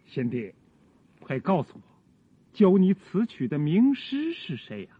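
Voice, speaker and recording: A theatrical gentleman scholar, high and lilting with a husky grain. He speaks slowly in ornate phrases, teasing and effusive, on a phone-like period radio drama recording. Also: huge pitch swings, no room sound, heavily acted. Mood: curious. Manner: theatrical, florid classical phrasing.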